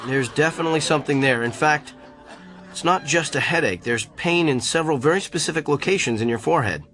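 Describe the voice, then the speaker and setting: Man playing self-important male physician, pompous, defensive, slightly high-pitched voice, radio drama, music underneath. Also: quick pace, fairly bright tone, natural voice. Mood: clinical, assured. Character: arrogant, self-important gifted male doctor.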